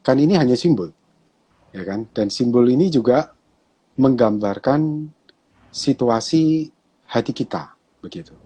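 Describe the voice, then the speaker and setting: A husky, slightly breathy man with a Javanese accent talks in a relaxed, chatty way. He is a pastor answering questions in a casual online conversation, with an easy laugh and a middle-pitched voice. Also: unhurried, pauses often. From Java, Indonesia.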